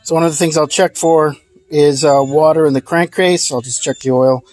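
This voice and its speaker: A man's voice: on the high side, steady tone, moderate pace, over loud music. A man casually explaining a car repair outdoors, talking into a handheld phone camera in a rambling, plainspoken way with frequent fillers. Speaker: DIY car repair vlogger. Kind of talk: casual hands-on repair walkthrough. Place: outdoors at a car engine bay.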